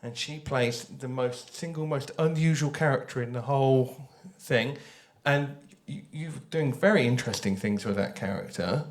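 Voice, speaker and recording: A man speaking off the cuff in a panel discussion, enthusiastic but halting, as an audio drama creator. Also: mid-range voice. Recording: echoey room.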